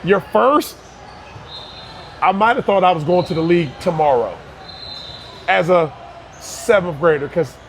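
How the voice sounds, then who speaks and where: A man's voice: sits high, animated, unhurried, long pauses, a bit bright, slight background noise. A man in his thirties from Barbados, a youth basketball coach talking earnestly in an interview, often restarting his words. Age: 30s. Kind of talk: casual interview conversation.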